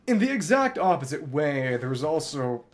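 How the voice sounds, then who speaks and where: A man's voice: on the high side, very animated, moderate pace. A man chatting casually on a gaming livestream, wordy and thoughtful, often hesitating as he searches for words. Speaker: game streamer. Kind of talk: casual rambling livestream chat while gaming. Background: game music.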